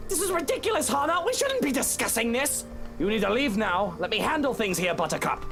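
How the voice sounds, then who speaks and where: Protective husband, very animated intonation, coaxing, exasperated, horror game dialogue over strong music. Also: male voice, very high-pitched, brisk, gravelly, heavily acted. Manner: insistent, coaxing, stammers under strain.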